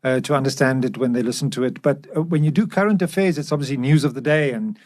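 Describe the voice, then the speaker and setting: A man talks as a podcast host. His voice sits at a middle pitch, and his delivery is that of an easy, conversational discussion. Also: fast talker, a little mellow. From South Africa.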